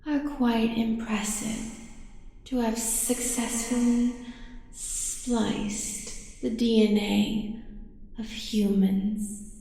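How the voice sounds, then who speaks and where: A woman playing an ancient, cruel, godlike creator in a dramatic audio roleplay, speaking in slow, deliberate, ominous pronouncements. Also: on the high side, creaky, mellow, heavily acted. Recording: very echoey, long cavernous reverb.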